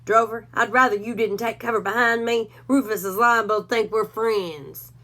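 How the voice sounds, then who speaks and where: A cocky male cowdog, voiced by a woman reader, delivers dry, sly remarks with a drawl. His voice is high and bright. A storybook hero who thinks he is cleverer than everyone. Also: fairly even intonation, normal speed. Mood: wry. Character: self-important male cowdog, wisecracking and sly.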